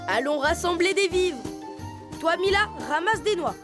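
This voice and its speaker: A woman's voice: extremely high pitch, expressive, moderate pace, music in the background. A woman voicing a brave, eager young leopard boy who leads his friends in a cartoon dub. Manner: upbeat, leading friends. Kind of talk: French cartoon dubbing.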